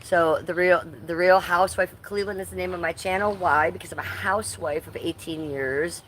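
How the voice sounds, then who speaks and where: A woman's voice: lowish voice, fairly even intonation, a little fast, fairly bright tone. A woman in her fifties hosting a casual live stream from her front yard, playfully greeting viewers by name. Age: 50s. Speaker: livestream host. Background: faint ambient noise of roofers working nearby.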